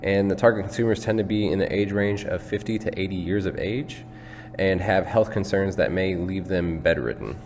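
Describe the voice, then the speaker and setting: A young man with a fairly low voice presents a student project. The recording has long reverb and a faint hum behind him. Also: normal speed, darkish tone. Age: young adult. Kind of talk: prepared presentation and product demonstration. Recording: hollow hall reverb, background hum.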